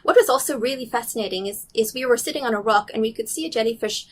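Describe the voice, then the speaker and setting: A woman's voice: on the high side, fast talker, a little hiss or hum. A middle-aged woman from South Africa with a blended international accent, slightly Canadian, telling nature stories eagerly and explaining with small restarts in a casual interview. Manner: enthusiastic, curious, explains carefully with small restarts. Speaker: guest, nature recorder. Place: restaurant or office in a city.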